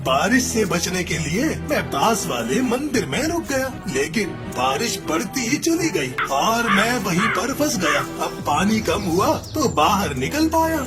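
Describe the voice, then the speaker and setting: Kindly old wandering holy man played by an actor, cartoon fable, lively swinging intonation, loud music underneath. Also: medium pitch, unhurried, few pauses. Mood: gentle, kindly. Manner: gentle, addresses others as children. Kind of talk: cartoon moral story acting. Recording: loud background music throughout.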